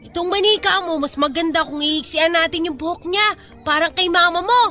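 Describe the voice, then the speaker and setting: A woman's voice: very high voice, a little fast, pushed and bright, soft background music. A woman voicing a mean, taunting young Filipino school bully with a mocking manner in an audio drama. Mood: cruel teasing. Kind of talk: acted audio drama dialogue.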